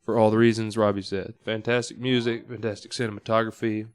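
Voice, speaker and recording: A man speaking casually as a guest on a podcast, halting now and then as he thinks aloud. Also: lowish voice, level tone, clear, resonant tone, no room sound. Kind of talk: casual chat. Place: podcast studio.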